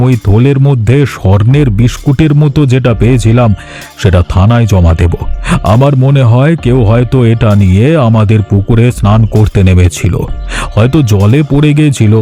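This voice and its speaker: Cartoon role: man voicing a patient elderly father, mellow low tone, faint music under it. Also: moderate pace, lightly acted. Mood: calm. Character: gentle elderly village father, worried. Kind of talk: cartoon voice acting.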